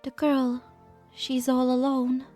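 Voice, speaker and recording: A woman playing a gentle, idealistic female scientist in a dramatic fan dub. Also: high voice, monotone, slow, faint music behind. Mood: gentle, wistful.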